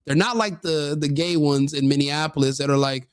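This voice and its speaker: Crude, mocking male commentator, fairly high voice, dry close mic. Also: moderate pace, smooth, dry recording. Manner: crude, sneering, mocking, laughs often. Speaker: commentator reacting to a video.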